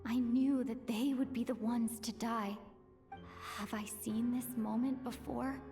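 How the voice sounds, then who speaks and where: A woman's voice: fairly high pitch, fairly even intonation, unhurried, dark tone. A woman playing a puzzled, introspective young woman in a video game, speaking in a wondering, reflective way. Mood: uneasy, reflective. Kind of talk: scripted game voice acting. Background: music.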